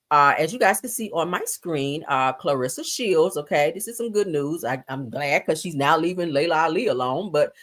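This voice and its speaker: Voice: medium pitch, fairly bright tone. Sassy, blunt live-stream news commentator. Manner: sassy, blunt, colloquial, addresses viewers as child and honey.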